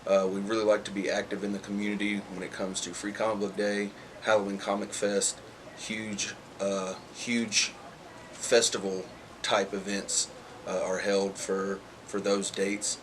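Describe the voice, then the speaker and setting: Low, even-toned man over background noise. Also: a little slow. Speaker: comic shop owner, sponsor. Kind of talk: informal promotional address.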